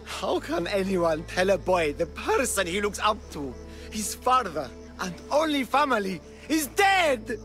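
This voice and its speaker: An actor playing a guilt-ridden, gentle old Greek man in a video game cutscene, grave and halting. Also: high voice, big pitch swings, full character voice. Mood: grave, regretful. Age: older. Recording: loud music behind.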